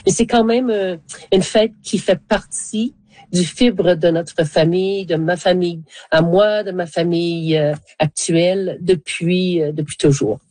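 A woman's voice: on the low side, fairly even intonation, a little slow, warm tone, no echo. An older woman, giving hesitant conversational answers as a panel guest.

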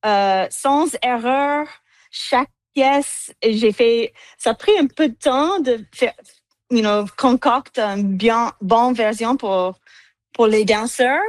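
English-accented woman, high, hesitant French. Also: no echo. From United States. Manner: hesitant, many fillers, slips into English words. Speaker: cellist, guest. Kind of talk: interview answers.

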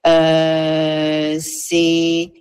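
A woman's voice: low-pitched, monotone, very slow, a little mellow, clear, resonant tone. A woman, explaining earnestly as an association representative in a spontaneous interview.

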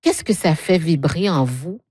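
Woman interviewer, low pitch, mellow, dry sound, gentle and affirming. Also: lively intonation, measured pace, husky, dry recording.

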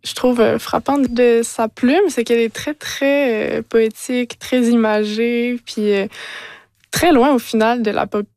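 A young woman with a Quebec French accent answering interview questions, unhurried and searching for words, with fillers. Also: a little high, fairly even intonation, a little mellow, dry recording. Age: young adult. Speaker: master's student guest.

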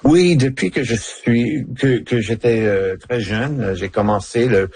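A middle-aged man, a novelist whose first language is English, speaking French haltingly in a radio interview with many fillers and restarts. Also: medium pitch, darkish tone, breathy edge, dry recording. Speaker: novelist guest.

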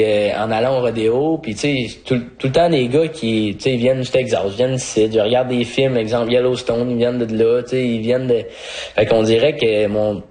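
A man's voice: mid-range voice, a little mellow. A man with a Québécois French accent chatting informally in an interview, peppering his talk with filler phrases. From Mascouche, Quebec, Canada. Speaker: rodeo bull rider being interviewed. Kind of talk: casual interview chat.

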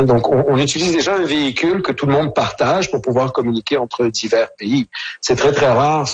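Husky man, halting and thoughtful. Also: mid-range voice. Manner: thoughtful, many hesitations and restarts. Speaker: author and interview guest. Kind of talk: radio interview answers.

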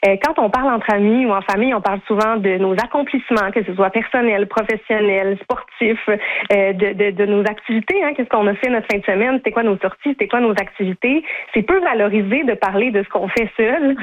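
A woman's voice: mid-range voice, a little fast, slight background noise. Reflective Québécois woman on a phone line. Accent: Québécois French. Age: late 30s. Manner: thoughtful, many restarts. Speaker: guest, series creator. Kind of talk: radio interview.

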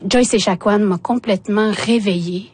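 A woman's voice: medium pitch, normal speed, darkish tone, slightly rough. A woman in her forties whose first language is Innu, speaking with a Quebec French accent as a journalist guest who tells her personal story with emotion and animation.

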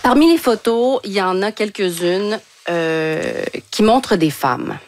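A woman's voice: medium pitch, expressive, a little slow, darkish tone. A woman hosting a conversational broadcast interview, thinking aloud as she frames her questions. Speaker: interviewer, radio host.

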